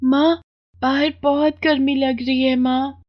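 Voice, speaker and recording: A woman's voice: high voice, level tone, slow pace, muffled recording, voice pushed up. A woman voicing an innocent six-year-old girl in a plaintive, pleading, childlike way for a moral story.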